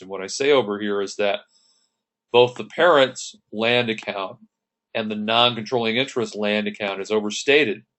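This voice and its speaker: A man with a lowish voice gives an accounting lecture over slides. He works through each entry methodically, one step at a time. Now and then he stops to clear his throat. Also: a bit bright. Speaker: accounting instructor.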